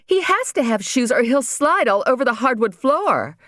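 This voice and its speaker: A woman's voice: fairly high pitch, very animated, normal speed, a bit bright, no room sound, studio quality, pitched above the performer's own voice. A woman voicing a spoiled, impatient blind young woman who screeches her orders. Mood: scornful. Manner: screeching, bossy.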